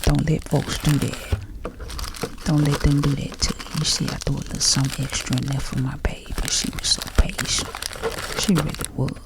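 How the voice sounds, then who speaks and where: A woman with a Southern US accent doing chatty ASMR, speaking softly and half-whispering like a folksy auntie giving advice. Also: extremely low pitch, flat delivery, moderate pace, creaky, heavy background noise. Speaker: ASMR creator packing orders.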